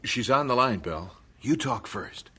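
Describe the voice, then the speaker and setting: A man playing a concerned, gentle missionary husband in an audio drama, speaking conversationally. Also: mid-range voice, expressive, normal speed, slightly rough, full character voice.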